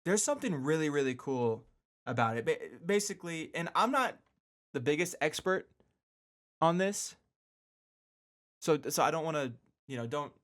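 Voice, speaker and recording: A man's voice: fairly high pitch, expressive, normal speed, long pauses, a bit bright. A man hosting a casual solo radio show, talking informally with slangy asides and repeating words for emphasis. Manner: emphatic repetition for stress.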